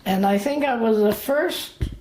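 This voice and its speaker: A woman in her late eighties being interviewed about her memories. She talks haltingly, with many fillers and pauses. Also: a little high, a little mellow. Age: late 80s. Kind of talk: slow, reflective memories. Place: indoors. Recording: roomy echo.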